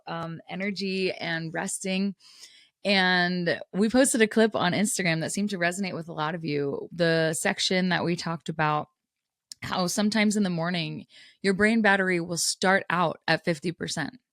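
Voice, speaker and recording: A woman's voice: lowish voice, dry recording, studio quality. A woman podcast host, a little scratchy and tired from a cold, talking candidly and casually with frequent laughs. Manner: candid, chatty, laughs often.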